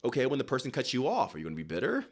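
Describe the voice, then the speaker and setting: A man speaks as a guest in a conversational interview, in a mid-pitched voice. He drives his points home emphatically, repeating key phrases, acting out little quotes and asking rhetorical questions like a motivational speaker. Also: wildly swooping pitch, racing. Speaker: interview guest, wellness guide creator. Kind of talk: animated motivational talk in conversation.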